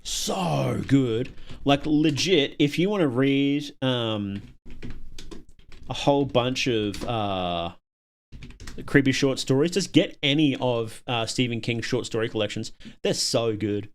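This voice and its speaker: A man's voice: mid-range voice, lively intonation, slow pace, slight background noise. A man hosting a casual livestream, chatting to viewers and thinking aloud in a rambling, opinionated way. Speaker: livestream host.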